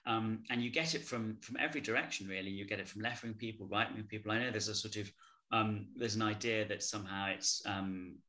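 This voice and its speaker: A man hosting an interview, speaking in a measured, thoughtful way with small hesitations. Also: fairly low pitch, steady tone, sharp tone. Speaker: host. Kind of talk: conversational interview. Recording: roomy echo.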